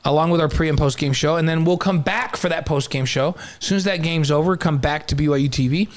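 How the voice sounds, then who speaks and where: A man's voice: on the high side, quick pace. A man with an American accent co-hosting a sports show in casual, chatty conversation.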